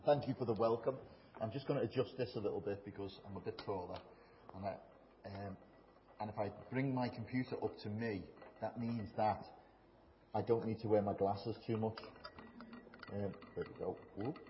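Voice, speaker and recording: A man's voice: lowish voice, steady tone, normal speed, slight background noise. Chatty Liverpool man in an echoey church hall. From England. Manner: chatty, self-deprecating, humorous. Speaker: guest speaker. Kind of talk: informal talk to a church congregation. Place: church building. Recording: echoey hall, slightly dull sound.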